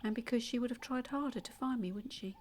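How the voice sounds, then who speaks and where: A woman acting an intimate audio-play monologue as a calm, obsessive, secretly dangerous woman, confiding in a measured, often hushed and breathy way. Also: on the high side, natural voice. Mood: bitter, resentful. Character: calm, obsessive, delusional woman abandoned as a baby, secretly murderous. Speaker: actor in a monologue.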